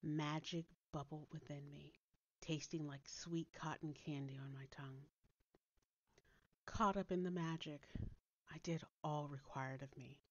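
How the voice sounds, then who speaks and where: A woman reading a story aloud as a dramatic, deliberate narrator with theatrical delivery. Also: low-pitched, fairly even intonation, dry recording, highs cut off. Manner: deliberate, theatrical, dropping to hushed tones. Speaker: author reading own story. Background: soft background music.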